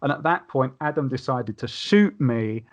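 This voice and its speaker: Male podcast guest, mid-pitched, casual and rambling storyteller. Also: animated, normal speed. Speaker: podcast guest reviewing a tabletop game. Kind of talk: casual chat, recounting game anecdotes.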